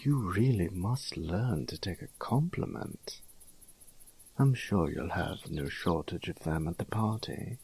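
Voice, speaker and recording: A flamboyant young nobleman from a fantasy audio drama voiced by a man. He takes his time over flowery phrases in an airy, mannered lilt with a breathy edge. His temper is fussy, playful and teasing. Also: sits low, animated, slow pace, darkish tone, exaggerated voice. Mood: teasing, courteous.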